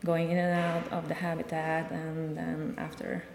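A woman's voice: low-pitched, completely flat delivery, a little slow, vocal fry, a little mellow, slight background noise. A woman from Hungary with a Hungarian accent, answering interview questions about her research with cheerful small laughs and restarts. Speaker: guest scientist. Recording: echoey conference room.

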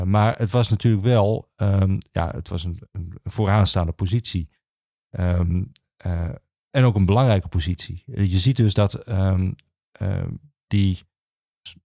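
A man the explains history as an interview guest, haltingly searching for his words. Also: low voice, creaky, warm tone, no echo, studio quality. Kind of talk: explanatory conversation for a history podcast.

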